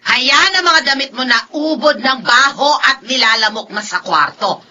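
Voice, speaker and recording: A woman's voice: high-pitched, expressive, moderate pace, pushed and bright, lo-fi sound, a little hiss or hum, exaggerated voice. A woman playing a harsh, nagging elderly grandmother in a Filipino radio drama, scolding sharply and indignantly. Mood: disgusted, berating.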